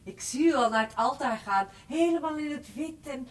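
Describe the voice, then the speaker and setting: Chatty excitable maid in a radio play, high pitched, gushing, bit off mic. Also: female voice, moderate pace, echoey room, far from the mic. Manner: chattering, exclamatory. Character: excitable, gushing, romantic female housemaid. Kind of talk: Dutch radio drama acting.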